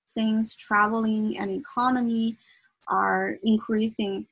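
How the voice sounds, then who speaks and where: Woman, husky voice. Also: fairly high pitch, level tone, slow pace, dry recording, rough recording. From China. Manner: frequent ums and self-corrections. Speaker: lecturer. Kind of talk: academic presentation.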